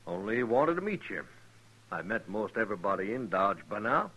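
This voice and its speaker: A genial, smooth-talking doctor new to a frontier town. His forceful, animated delivery is formal and eager to please, in the manner of vintage radio drama. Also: fairly low pitch, normal speed, full character voice, rough recording. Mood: genial, ingratiating. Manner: courtly, ingratiating, formal. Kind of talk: 1950s radio western acting.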